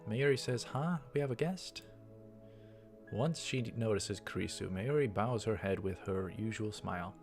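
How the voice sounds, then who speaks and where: Low-voiced man reading a visual novel aloud. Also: normal speed, darkish tone, soft background music. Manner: narrates each line with dialogue tags and aside remarks. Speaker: let's play commentator.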